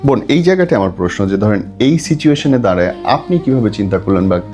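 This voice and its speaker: A man's voice: fairly low pitch, expressive, fast talker, over music. A man with a Bangladeshi accent conducting a conversational interview, interjecting and echoing as he goes. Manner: interjects and echoes the guest.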